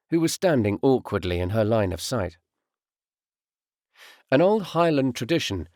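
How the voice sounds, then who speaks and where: A man reading a first-person literary audiobook in a measured, reflective way. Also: medium pitch, a little mellow, dry recording, clean studio sound. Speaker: audiobook narrator, voice artist.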